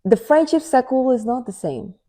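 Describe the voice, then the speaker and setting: A Nigerian woman in her thirties co-hosts a relaxed podcast chat. She speaks earnestly, advising her listeners and often echoing or finishing her partner's phrases. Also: high-pitched, normal speed. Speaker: podcast co-host. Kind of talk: casual chat.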